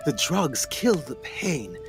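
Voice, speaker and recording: A man's voice: a little high, big pitch swings, over loud music, full character voice. A man playing a haunted, guilt-ridden explorer doctor in a radio play, telling his story with theatrical intensity. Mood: haunted, grave. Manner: theatrical, intense, halting delivery.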